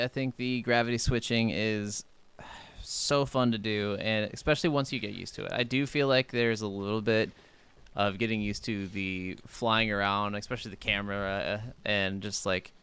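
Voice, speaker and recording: A man's voice: fairly low pitch, a bit bright, clear, resonant tone. A man co-hosting a gaming podcast in casual, conversational chat. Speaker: podcast co-host.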